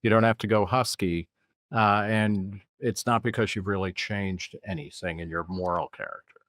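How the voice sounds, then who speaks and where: A man's voice: on the low side, fairly even intonation, normal speed, a bit bright, no room sound, studio quality. A man hosting an interview podcast, framing his questions hesitantly with many fillers.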